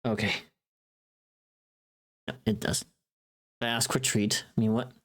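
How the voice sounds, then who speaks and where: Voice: medium pitch, level tone, measured pace. A livestreamer chatting casually with viewers in a playful, teasing, rambling way. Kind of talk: casual chat with live viewers.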